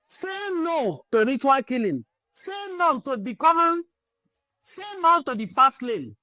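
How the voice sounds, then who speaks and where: A woman's voice: high voice, big pitch swings, measured pace, pushed and bright, no echo, studio quality. A Nigerian woman hosting a cultural channel, delivering an impassioned address built on repeated rallying calls. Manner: rallying, repetitive exhortations.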